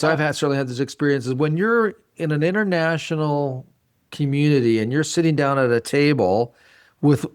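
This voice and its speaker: Higher-pitched man chatting on a podcast. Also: a little mellow. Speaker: podcast host. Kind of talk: casual conversational podcast chat.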